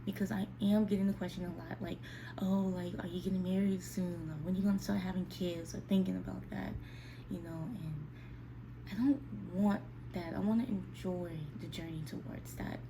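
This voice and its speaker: A woman's voice: lowish voice, fairly even intonation, moderate pace, vocal fry, noisy background. A woman of about thirty chatting casually and candidly to her viewers in a confiding, conversational way, addressing them directly. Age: 29, almost 30. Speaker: vlogger speaking to her viewers. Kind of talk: casual, candid sit-down chat.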